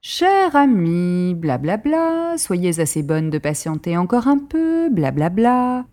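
Audio drama: flighty Parisian madame, acted by a woman, imperious, mocking, very lively intonation. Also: medium pitch. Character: flighty, mischievous, worldly Parisian mistress of the house.

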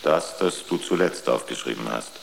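Ship's computer for radio drama: extremely low, gravelly, coolly rational and pedantic. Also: male voice, expressive, moderate pace, a little hiss or hum, heavily acted. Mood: coolly factual.

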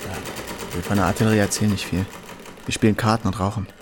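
An actor in a German radio play voicing a laconic, war-weary artillery soldier back home, dry, curt and colloquial. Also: male voice, lowish voice, steady tone, fast talker, long reverb tail, noisy background, lightly acted.

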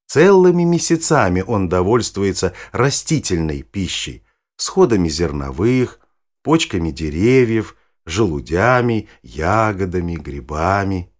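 A man playing a knowledgeable nature guide, narrating a children's audio play in a measured, expressive, explanatory way. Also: low-pitched, exaggerated voice. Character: knowledgeable guide showing children forest animals. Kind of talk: scripted storytelling narration for children.

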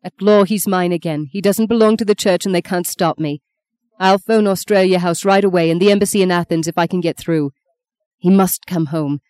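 A woman narrator plays a steadfast mother in a storybook drama, holding grief under firm control. The tone is mellow and soft-edged, stern yet tender, recorded very dry. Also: lowish voice, a little fast, no echo, close to an everyday voice. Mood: consoling, firm. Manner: controlled, firm, gentle, unfaltering. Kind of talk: dramatic audiobook character voice.

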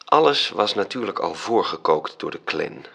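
Gravelly measured narrator. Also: male voice, low-pitched, lively intonation, a bit bright, exaggerated voice. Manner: measured storytelling. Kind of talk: radio play narration.

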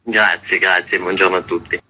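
Male festival organizer, lowish bright voice, measured with restarts, radio interview. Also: level tone, husky, rough recording. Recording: radio broadcast.

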